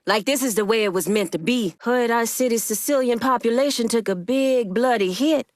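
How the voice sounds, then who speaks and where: A woman playing a proud, shrewd Haitian gang boss in a video game, speaking in a stern, deliberate, profane street-tough way. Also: fairly high pitch, darkish tone, no room sound, clean studio sound, close to an everyday voice. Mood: insistent, proud. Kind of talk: video game character dialogue.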